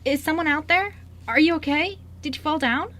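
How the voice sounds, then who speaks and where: A woman playing an eager, lonely five-year-old girl in an amateur radio drama, earnest and slightly halting. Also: high voice, a bit bright. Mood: pleading, concerned. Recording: noisy amateur radio recording.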